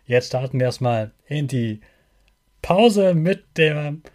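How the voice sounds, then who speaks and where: A man's voice: on the high side, lively intonation, slow, darkish tone. A man hosts a children's podcast, talking directly to the child in simple, encouraging, motivational words.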